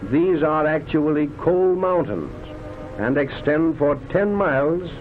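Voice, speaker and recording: Tinny vintage newsreel narrator, higher-pitched man. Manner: declamatory, florid showman-like delivery. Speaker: documentary narrator. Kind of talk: 1930s newsreel-style scripted narration. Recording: tinny band-limited vintage film soundtrack, loud music. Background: loud background music.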